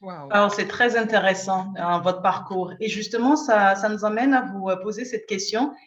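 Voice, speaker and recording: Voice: mid-range voice, steady tone, normal speed, a bit bright. A host asks the guest formal questions from a distance from the mic. The voice sounds roomy and echoey, and the recording is dull and lo-fi. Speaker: interviewer, host. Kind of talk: interview questions.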